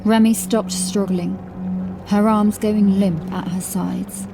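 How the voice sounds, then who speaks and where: A woman narrator reading scripted horror fiction in a measured, deliberate, dramatic storytelling style. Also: medium pitch, fairly even intonation, vocal fry. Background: music.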